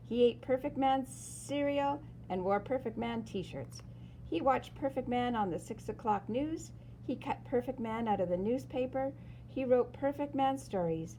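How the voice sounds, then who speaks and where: A woman reads a children's story aloud expressively, with dramatic emphasis and pauses. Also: a little high, mellow, slight background noise, poor audio. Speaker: storyteller reading a picture book aloud.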